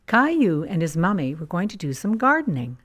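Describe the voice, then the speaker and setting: A woman narrating a scripted children's storybook with expressive, clear phrasing. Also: fairly low pitch, brisk.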